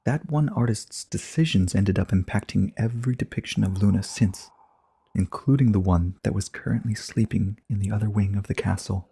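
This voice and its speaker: A man narrating a story dramatically in a sombre, subdued manner, with a husky, breathy voice. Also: lowish voice, moderate pace, dark tone.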